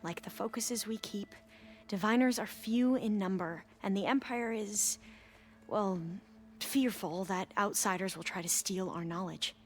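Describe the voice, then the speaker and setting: A woman's voice: medium pitch, a little slow, close to an everyday voice. A woman playing an earnest, dutiful young scholar in a video game, with a slightly hesitant way of talking. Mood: somber, confiding. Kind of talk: video game cutscene acting. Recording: background music under the voice.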